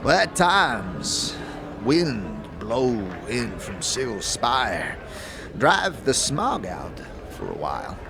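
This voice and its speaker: A man playing a sickly old ex-warrior in a fantasy audio drama, with a gravelly wheeze, stammering, chuckling folksy slang. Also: mid-range voice, huge pitch swings, slow pace, heavily acted. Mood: grumbling, musing. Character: sickly old ex-warrior tavern drinker, wry and grumbling. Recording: music under the voice.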